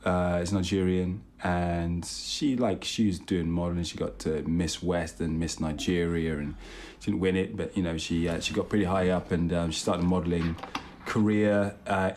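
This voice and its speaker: Low-voiced young British man, chatty. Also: a little mellow, slight background noise. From born in London, raised partly in Italy and England. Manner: chatty, informal, self-deprecating. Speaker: podcast co-host. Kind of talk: casual personal storytelling.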